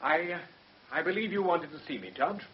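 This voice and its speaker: An actor playing an anxious, humbled barrister, hesitant and polite in a radio drama. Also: male voice, on the high side, fairly quick, lightly acted. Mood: uneasy. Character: anxious, humbled English barrister, desperate father. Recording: muffled, hissy old radio recording.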